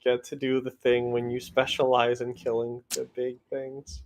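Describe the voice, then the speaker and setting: Flat-toned man on a noisy mic. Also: medium pitch, moderate pace. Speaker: tabletop game player. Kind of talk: casual chat.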